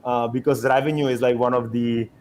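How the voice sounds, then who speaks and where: An Italian-accented young man talks about his startup in a loose, halting way, stopping and restarting his phrases. His voice sits at a middle pitch. A faint hiss of noise runs behind him. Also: steady tone, a little mellow. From Italy. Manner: hesitant, many restarts and fillers. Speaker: startup co-founder. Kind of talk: conversational interview.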